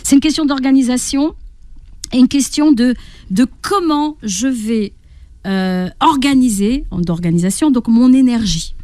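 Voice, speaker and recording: A woman's voice: on the high side, a little slow, darkish tone, no echo. A woman giving explanatory advice as a guest coach in a radio interview, teaching through everyday examples and frequent rhetorical questions. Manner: didactic, often checks in with rhetorical questions and acts out inner speech. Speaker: guest parenting coach and therapist. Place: radio studio.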